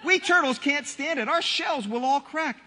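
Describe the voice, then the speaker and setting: A man's voice: extremely high pitch, moderate pace, pushed and bright. A man voicing a meek, suffering little turtle from a children's storybook, pleading politely. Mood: pleading, pained. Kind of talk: children's storybook read aloud. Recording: long hall reverb.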